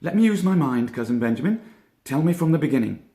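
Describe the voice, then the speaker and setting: A self-important young storybook rabbit, voiced by a man, speaks in a raised, lilting pitch with echo around it. He is level-headed and wordy, reasoning things out. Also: sing-song, fast talker, dark tone. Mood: reflective, pompous. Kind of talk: children's storybook character voice.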